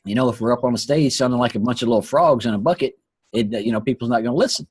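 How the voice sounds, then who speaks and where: A hoarse man who is losing his voice hosts an earnest, preachy motivational talk and often repeats his phrases. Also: mid-range voice, rapid-fire, no room sound. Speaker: host. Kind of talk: motivational talk on a live online call.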